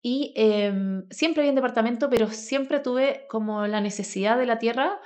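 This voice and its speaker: Chilean woman with a higher-pitched voice. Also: moderate pace, darkish tone. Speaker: podcast guest, grounding advocate. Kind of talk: conversational personal storytelling.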